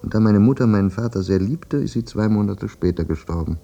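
A haunted soldier, very dark low voice, reflective radio play monologue, muffled recording, music behind. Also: male voice, vocal fry. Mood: numb, weary. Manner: reflective, halting repetitions, self-questioning. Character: war-maimed soldier brooding over his memories, haunted, plain-spoken.

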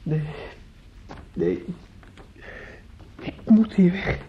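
Slow, halting, grief-stricken young man. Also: sits high, wildly swooping pitch, slightly rough, full character voice. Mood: distraught. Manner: halting, stammering, repeating himself, breaking into whispers. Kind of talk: intimate radio play acting. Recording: muffled, noisy old radio drama recording.

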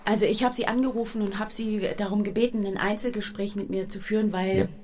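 An actress playing an earnest, embarrassed wife in an improvised radio comedy, confiding carefully and haltingly. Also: female voice, mid-range voice, steady tone, darkish tone, a little hiss or hum, close to an everyday voice. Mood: earnest, aggrieved. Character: embarrassed, earnest wife discussing intimate troubles.

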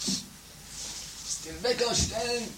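Man playing a blustering, high-pitched family father. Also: drawn-out words, full character voice. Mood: worried, tearful. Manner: melodramatic, stammering, bursts into shouting. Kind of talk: improvised amateur trash radio comedy acting. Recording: noisy 1980s home-made radio play recording.

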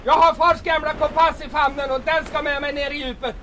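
A man's voice: extremely high pitch, flat delivery, bright, forceful tone, a faint crowd, a little hiss or hum. A man playing a stubborn, bitter old fisherman in an audio drama, speaking in an emotional, plaintive way. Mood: desperate, defiant.

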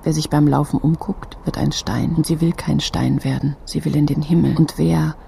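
Woman, literary drama narrator, dark soft timbre, slightly low, expressive, repeated phrases, breathy moments. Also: moderate pace, a little hiss or hum.

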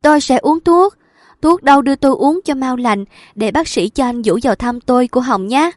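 A woman doing a distraught young woman, high and shrill. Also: animated, measured pace, no echo, studio quality. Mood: agitated. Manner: high-pitched, loud, pleading. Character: distraught young woman patient pining for lost love.

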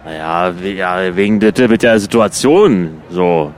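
A man's voice: low voice, unhurried. A man in improvised radio comedy chat, speaking in a hesitant, laconic way.

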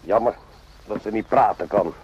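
An actor playing a cynical, world-weary army sergeant in a radio drama, offhand and sarcastic, in short clipped phrases. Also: male voice, lowish voice, moderate pace, a little mellow, slightly rough. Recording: muffled lo-fi old radio recording.

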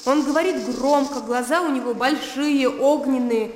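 An actress playing a lively, blunt and willful young provincial woman in a Russian radio play, frank and teasing in manner. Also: sits high, moderate pace, a little hiss or hum, close to an everyday voice. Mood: mocking, emphatic. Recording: echoey reverberant sound, crickets and outdoor ambience.

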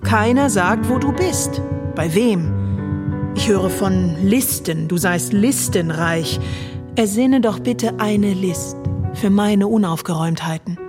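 A woman performing a literary poetry recitation in a measured, deliberate way with dramatic pauses. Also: medium pitch. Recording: music behind the voice. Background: music.

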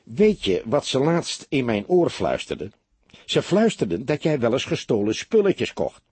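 A man's voice: mid-range voice, very animated, moderate pace, darkish tone, breathy edge, no room sound, pitched above the performer's own voice. A man reading an audiobook as a heavyset, unflappable police detective with a teasing, mock-sincere manner.

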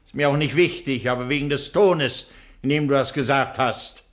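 An actor playing a smug, menacing doctor and criminal mastermind in a theatrical, commanding 1960s German radio play style. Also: male voice, medium pitch, moderate pace, lightly acted. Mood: threatening. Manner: theatrical, self-satisfied, commanding. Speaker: villain in a radio crime play.

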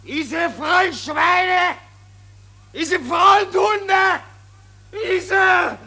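An actor playing a hysterical, bitter Austrian army officer in a theatrical radio drama, shouting and sputtering in halting repetitions. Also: male voice, very high voice, gravelly, heavily acted. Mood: furious, despairing.

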